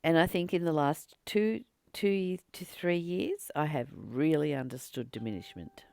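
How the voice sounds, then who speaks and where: A spiritual teacher reading aloud and reflecting on a text in a deliberate, earnest way, pausing between short phrases. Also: mid-range voice, vocal fry, a little mellow, clean tone, dry recording.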